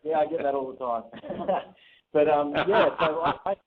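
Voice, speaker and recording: A man's voice: fairly high pitch, measured pace. A man in his thirties with an Australian accent, a guest telling his story in a chatty radio interview with easy laughs. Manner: chatty, laughs between phrases. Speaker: guest, real estate investor. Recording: phone-line interview audio.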